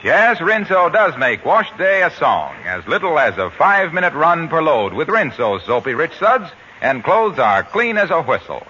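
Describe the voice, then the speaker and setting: A man's voice: a little high, very animated, normal speed, hardly any pauses, pushed and bright. A man announcing a radio commercial, cheerful and emphatic in his selling. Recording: dull old radio broadcast with faint hiss.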